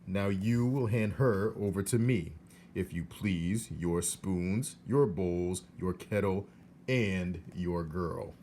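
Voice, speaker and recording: A man voicing a dutiful soldier of the king who speaks in a loud, formal, measured tone. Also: deep voice, a little hiss or hum. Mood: official.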